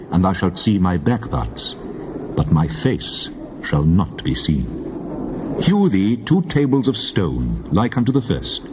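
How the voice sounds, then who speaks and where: A man's voice: lowish voice, darkish tone, slight background noise. A man playing God in a dramatized scripture reading, speaking with solemn, declarative authority. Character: God, solemn commanding male divine voice.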